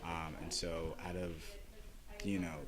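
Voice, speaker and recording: A young man from Philadelphia, a student telling stories in a lively, animated way. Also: low-pitched, measured pace, crowd noise. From Philadelphia, USA. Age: young adult. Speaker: student interviewee. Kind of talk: interview answers.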